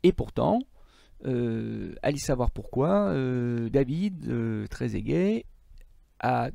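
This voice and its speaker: A man's voice: mid-range voice, animated, slow pace, vocal fry, mellow, no echo, studio quality. A man giving a recorded lesson as a middle school teacher, explaining didactically and stressing key points. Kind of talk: recorded lecture for students.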